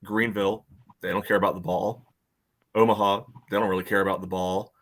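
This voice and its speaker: A man's voice: deep voice, level tone. A man co-hosting a soccer fan podcast, talking casually with frequent fillers. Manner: quick, bright, lots of fillers. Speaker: podcast co-host. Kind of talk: casual chat.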